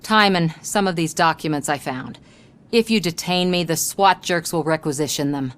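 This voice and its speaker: A woman's voice: deep voice, moderate pace, a bit bright. An actress playing a defiant, determined woman investigator in a video game, firm and wry.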